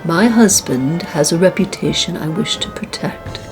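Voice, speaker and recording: Low-voiced, guarded 1940s socialite played by a woman. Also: moderate pace, over music, lightly acted, poor audio. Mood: composed, secretive. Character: guarded, proud young 1940s socialite wife, femme fatale client. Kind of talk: noir radio play acting.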